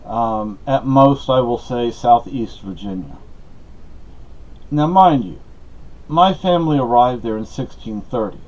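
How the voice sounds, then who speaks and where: A man's voice: mid-range voice, lively intonation, measured pace, a little mellow. A middle-aged man gives a casual, rambling monologue, conversational and full of asides and personal anecdotes. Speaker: podcast host giving personal updates. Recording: dull lo-fi audio.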